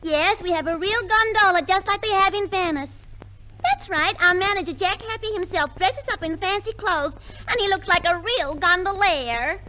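A woman's voice: very high voice, moderate pace. An actress playing a brash, chirpy company telephone girl in a 1950s radio comedy. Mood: chirpy, boastful. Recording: noisy 1950s radio recording.

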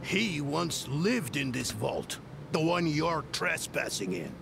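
An actor playing a gruff, weary older male scholar-mage in a dramatic video game, speaking deliberately and brusquely in a gravelly voice. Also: on the high side, sing-song, noisy background, exaggerated voice. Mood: stern, scornful.

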